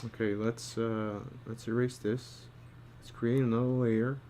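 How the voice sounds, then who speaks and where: A man thinking aloud casually as he gives an art demonstration, murmuring to himself in an unhurried way as he works. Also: medium pitch, level tone, warm tone, slight background noise. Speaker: storyboard artist giving a drawing tutorial.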